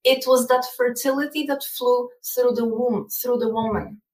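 A woman's voice: fairly high pitch, steady tone, normal speed, darkish tone, reverberant, distant mic, rough recording. A woman with a Polish accent, talking as a guest in an interview, explaining earnestly and often repeating words. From Poland. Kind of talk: casual interview talk.

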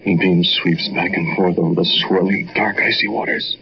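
Scheming villain in noisy old radio drama. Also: male voice, deep voice, little pitch movement, normal speed, vocal fry. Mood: hushed, tense. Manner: theatrical, switching between pleading and hushed inner narration. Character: scheming, duplicitous man feigning innocence and friendship. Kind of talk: 1940s radio acting. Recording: noisy, muffled 1940s radio broadcast.

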